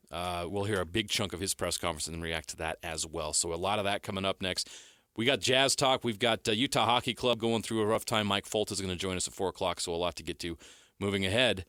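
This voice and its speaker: Deep, bright-voiced male radio host. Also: clean tone, no echo, studio quality. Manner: brisk, leads the segments and reads out news and injury lists. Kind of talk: sports radio talk. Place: radio studio. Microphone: studio microphone.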